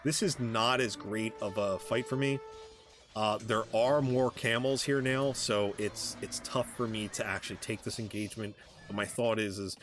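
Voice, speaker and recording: A man with a fairly low voice talks through his own strategy game match in a casual way. He speaks haltingly, thinking aloud as he explains his moves. Music plays behind his commentary. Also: steady tone, fairly bright tone. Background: background music.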